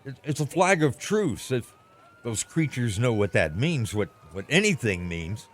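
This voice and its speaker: An actor playing a frightened radio reporter in an old-time radio drama, stammering through an eyewitness account. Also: male voice, medium pitch, sing-song, unhurried, a little hiss or hum. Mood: uneasy watchfulness. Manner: stammering, breathless eyewitness description. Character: frightened male radio reporter at alien landing site.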